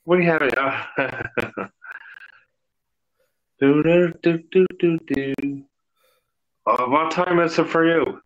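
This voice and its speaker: Bright-voiced Irish man over dull call audio. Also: fairly high pitch, slow, pauses often. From Ireland. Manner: laughs easily, swears casually. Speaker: video call guest. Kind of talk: casual chat.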